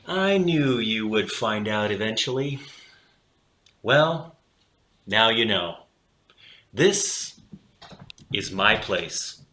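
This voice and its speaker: A man voicing a cool, self-assured pet dog who runs his own club, expressive and unhurried, in a storybook reading with room echo. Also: fairly low pitch, exaggerated voice. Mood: resigned. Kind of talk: storybook character voice.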